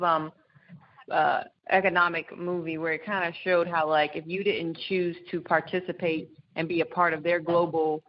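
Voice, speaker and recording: Female discussion participant, very low flat voice, informal and rambling, on dull call audio. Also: slight background noise. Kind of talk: casual discussion, recounting.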